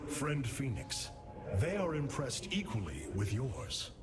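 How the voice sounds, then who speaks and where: An actor voicing a noble, resolute alien commander in a video game, formal and declamatory. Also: male voice, medium pitch, huge pitch swings, slow pace, over loud music. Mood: reassuring. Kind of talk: dramatic video game acting.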